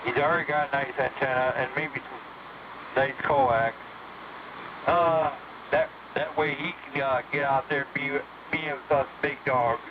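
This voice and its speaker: A man's voice: mid-range voice, steady tone, unhurried. A man talking casually over CB radio as an operator, folksy and informal with plenty of radio jargon. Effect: heard over CB sideband radio. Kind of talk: casual on-air radio chat. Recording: noisy, phone-like CB radio signal.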